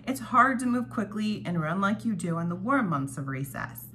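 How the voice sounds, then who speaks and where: A woman's voice: mid-range voice, very animated, normal speed, fairly bright tone. A woman hosting a devotional read-along, reading prepared reflections aloud with casual asides, recorded in a dining room at home. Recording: home recording with clear background noise.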